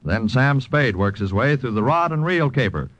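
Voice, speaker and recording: A man's voice: medium pitch, animated, normal speed, a bit bright, slight background noise. A man reading scripted credits and programme promos as an old-time radio announcer. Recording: dull old radio recording, faint music.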